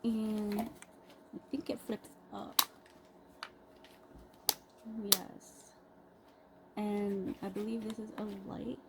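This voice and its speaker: Slow-talking woman reviewing a product, noisy background. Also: medium pitch, little pitch movement. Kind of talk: casual unboxing review, improvised. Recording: clearly noisy background ambience.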